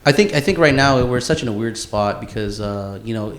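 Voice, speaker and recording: A man's voice: medium pitch, lively intonation, brisk, a bit bright. A man hosting a podcast, chatting casually in a slangy way peppered with "bro" and "man". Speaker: podcast host.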